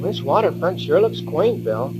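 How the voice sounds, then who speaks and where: An actor playing an eager, curious young ranger with a boyish manner in an old time radio drama. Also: male voice, a little high, big pitch swings, moderate pace, over music, rough recording. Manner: inquisitive, boyish.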